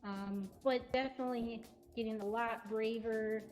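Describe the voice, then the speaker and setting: A woman's voice: fairly high pitch, steady tone, measured pace, a little mellow, soft background music. A woman presenting casually on a livestream in an informal, chatty way. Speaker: cat cafe presenter. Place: indoor cat cafe room.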